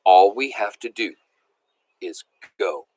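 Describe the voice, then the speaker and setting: Man acting an animated, eager old country grandpa. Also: mid-range voice, normal speed. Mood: serious, earnest. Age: older. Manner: emphatic, blurting, folksy. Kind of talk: storybook read-aloud.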